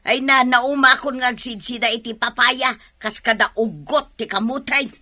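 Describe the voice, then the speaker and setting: Nagging, bright-voiced wife. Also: female voice, fairly high pitch, fairly even intonation, normal speed. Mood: exasperated. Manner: scolding, complaining. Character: nagging, exasperated wife who does all the housework. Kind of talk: radio drama acting.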